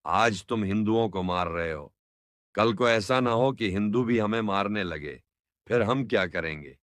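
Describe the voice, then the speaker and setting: Man playing a deep-voiced pleading family man. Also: unhurried, fairly bright tone, dry recording. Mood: earnest appeal. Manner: earnest, imploring. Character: pleading, peace-minded family man held captive. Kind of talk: cartoon moral story dialogue.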